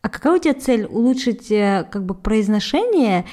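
Woman cohost w a mellow warm voice, upbeat, chatty. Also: on the high side, very animated. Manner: upbeat, quick approving interjections. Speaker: podcast co-host. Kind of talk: casual podcast chat.

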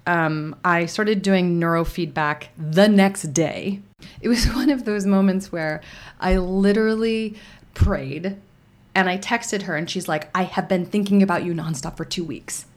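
A woman speaking as an interview guest in a relaxed, reflective way, with many fillers and restarts. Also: on the low side, a little slow. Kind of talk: casual conversational interview.

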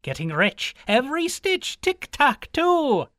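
A man voicing a merry old male leprechaun shoemaker in an Irish accent, chanting in a high sing-song. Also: huge pitch swings, slow pace, pushed and bright, no room sound, studio quality, exaggerated voice.

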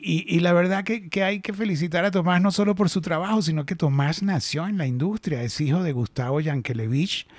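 A man's voice: on the high side, no room sound. A middle-aged Venezuelan man hosting a casual industry chat, talking animatedly with many restarts. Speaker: host.

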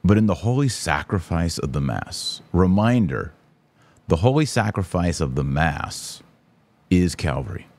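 Deep-voiced, very animated American man. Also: no room sound. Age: middle-aged. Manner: animated, emphatic, exasperated. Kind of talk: opinion commentary with anecdotes.

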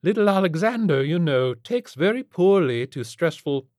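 A man voicing a haughty, demanding rich matron in an audiobook story, loud, imperious and formal. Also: a little high, lively intonation, moderate pace, dry recording, studio quality, voice pushed up, lightly acted. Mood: prim alarm.

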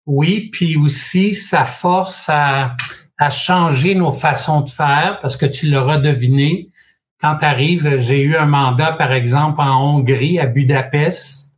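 A man in his sixties from Quebec with a Québécois French accent, a guest in an interview, telling his story in a measured way with frequent hesitations and dry humor. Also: fairly high pitch. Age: 60s. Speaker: guest, franchise expert.

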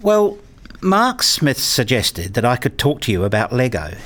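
A man's voice: medium pitch, wildly swooping pitch, a little slow, a bit bright. A man playing an earnest married father and hobbyist, narrating and acting his scripted story in a radio play. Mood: curious. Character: eager married father and hobbyist, a little rueful. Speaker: narrator and main character.

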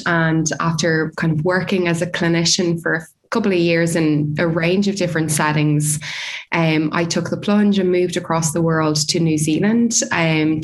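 A woman from Dublin with an Irish accent, a researcher explaining her field in a measured way with frequent fillers. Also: deep voice. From Ireland. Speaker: speech pathology researcher. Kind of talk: academic discussion, explaining.

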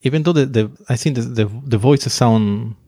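A man's voice: medium pitch, moderate pace, dark tone, husky, dry recording, clean studio sound. A man interviewing a guest in a hesitant, conversational way with many fillers.

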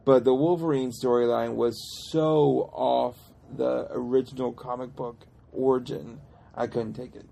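Male podcast talker, creaky and mellow, casual but insistent, picky about details. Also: mid-range voice, fairly even intonation, unhurried, few pauses. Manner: emphatic, nitpicking comic-book fan. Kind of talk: casual chat, opinionated film discussion.

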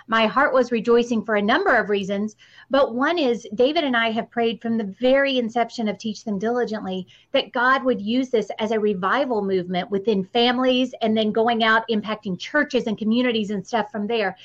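Higher-voiced woman hosting, slightly dull sound. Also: a little fast. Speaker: podcast host. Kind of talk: casual interview conversation about faith.

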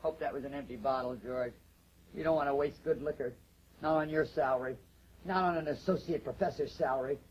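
Mocking, bitter wife, wildly swooping. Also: female voice, lowish voice, animated, breathy edge, lo-fi sound. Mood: scornful, savage. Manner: mocking, theatrical, drawn-out emphasis. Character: bitter, taunting middle-aged wife telling stories about her husband. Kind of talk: stage drama acting.

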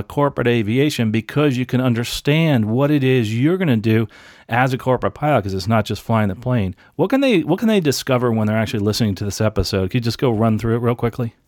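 A man's voice: medium pitch, a little mellow, dry recording, clean studio sound. A man hosting an aviation podcast, chatting casually and giving encouraging advice with frequent restarts. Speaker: podcast host.

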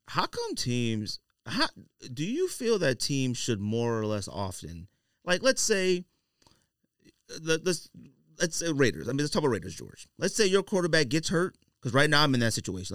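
A man's voice: lowish voice, clean tone, dry recording. A man hosting a podcast in a studio, chatting casually and pressing his questions persistently. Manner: animated, persistent, often cutting in to press questions. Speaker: podcast host. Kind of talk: casual sports and sneaker podcast banter.

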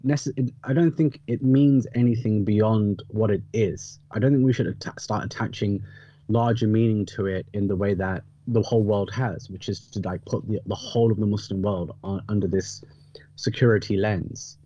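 A man's voice: lowish voice, steady tone, moderate pace, dark tone, dull audio. A man in his thirties talking reflectively on a podcast, hesitant and rephrasing himself as he thinks.

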